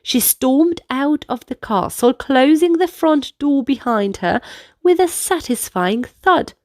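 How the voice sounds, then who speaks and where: Lady reading a kids picture book n teaching dance moves, high voice, really dry close sound. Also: lively intonation, slow, darkish tone, no echo. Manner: instructive, clearly paced delivery for young listeners. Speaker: children's storyteller and dance movement guide. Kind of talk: read-aloud children's storybook narration with movement instructions.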